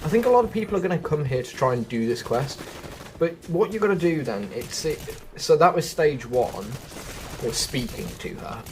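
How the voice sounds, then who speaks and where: A man's voice: on the high side, big pitch swings, moderate pace, noisy background. A man giving a casual, chatty walkthrough of a video game, often thinking aloud as he guides viewers. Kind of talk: casual gameplay walkthrough commentary.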